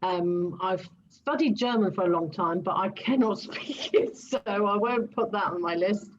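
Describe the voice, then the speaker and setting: A woman's voice: medium pitch, a little slow, highs cut off, a little hiss or hum. A Greek-born woman, a native Greek speaker, answering interview questions as a guest coach, with many ums and restarts. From Greece. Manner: hesitant, many ums and restarts, light laughs.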